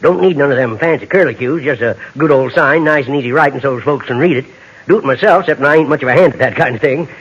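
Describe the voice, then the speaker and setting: An actor playing a blunt, cocky rainmaking drifter, drawling in a folksy rural American accent in an old radio western. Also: male voice, medium pitch, fast, fairly bright tone, slightly rough. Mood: nosy, folksy. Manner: drawling, folksy, plain-spoken. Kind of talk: 1950s radio western acting. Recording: dull, lo-fi old radio recording.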